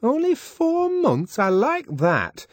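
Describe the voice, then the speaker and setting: A man's voice: very high voice, wildly swooping pitch, slow, no room sound, clean studio sound, pitched above the performer's own voice, heavily acted. A man reading an audiobook voices a prim, disapproving young woman who scolds her friend with fussy, mock-shocked emphasis. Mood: scolding. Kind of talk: audiobook character voice.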